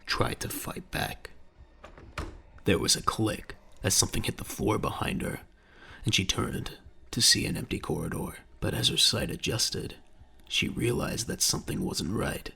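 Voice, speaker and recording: A man with a gravelly voice narrating a dramatic audio drama in a grave, measured storytelling manner. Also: pushed and bright. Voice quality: very deep, gravelly. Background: faint music.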